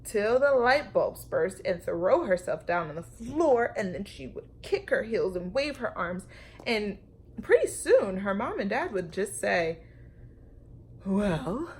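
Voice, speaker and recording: A woman reading a story aloud to children like a teacher, expressive and emphatic, with dramatic pauses and questions to her young listeners. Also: medium pitch, slightly rough.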